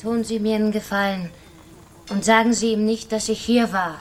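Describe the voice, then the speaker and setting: A woman's voice: mid-range voice, steady tone, normal speed, slight background noise, lightly acted. An actress playing a slight, weary young wanderer in a German radio drama, hesitant, polite and evasive in her short answers. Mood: furtive pleading.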